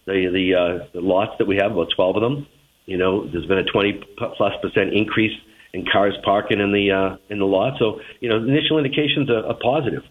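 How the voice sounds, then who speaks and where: Male radio guest, Boston accent, lowish voice, official answering questions down a thin phone line. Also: a little fast. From Boston, United States. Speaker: transit agency general manager, interview guest. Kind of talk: spoken radio interview answers. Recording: narrow tinny phone line.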